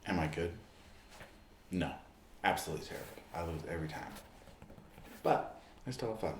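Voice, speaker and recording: A man performing an ASMR roleplay as a friendly amateur basketball player, speaking in short, deliberate, halting phrases as he shows objects. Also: low-pitched, expressive. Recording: roomy echo, clear background noise.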